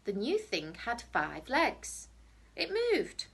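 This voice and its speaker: A woman reading a children's story aloud like a teacher, in a dramatic, deliberate storytelling manner. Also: fairly high pitch, huge pitch swings, husky. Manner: dramatic, deliberate storytelling with emphatic repetition and hushed phrases.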